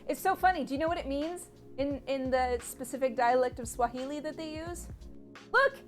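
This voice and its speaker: A woman's voice: sits high, normal speed, soft background music. A woman co-hosting a cooking stream from her home kitchen, chatting in a playful, rambling way. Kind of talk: casual chat while cooking.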